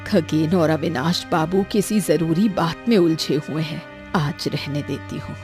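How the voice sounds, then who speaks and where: A woman playing a careworn old grandmother in an animated story, scolding and lamenting but loving. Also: deep voice, a little slow, faint music behind. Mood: weary, worried. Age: older. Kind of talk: Hindi animated story dialogue.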